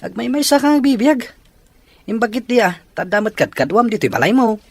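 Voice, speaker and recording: Actor as husky, animated struggling Ilocano father. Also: high-pitched, brisk, no room sound, exaggerated voice. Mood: pleading. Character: struggling jobless father, firm with his young daughter. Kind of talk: Ilocano radio drama acting.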